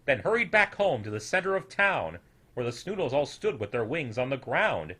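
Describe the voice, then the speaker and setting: A man reading a rhyming children's tale aloud as an expressive storyteller. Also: mid-range voice, normal speed.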